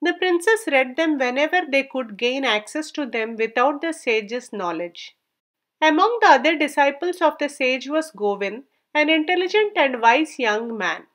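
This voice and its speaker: A woman with a high-pitched voice reads a folk story aloud. She works as a storyteller, delivering scripted narration. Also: expressive, measured pace, a bit bright.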